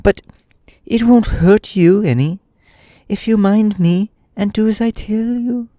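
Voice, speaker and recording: A man voicing a bossy, impatient young boy in a storybook reading, talking high and shrill. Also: a little slow, no room sound. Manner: shrill, exasperated shouting.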